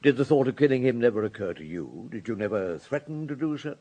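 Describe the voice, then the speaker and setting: An actor in a radio drama playing a senior police detective, questioning in a calm, measured, terse way. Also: male voice, medium pitch, dull audio, natural voice. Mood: calm, probing.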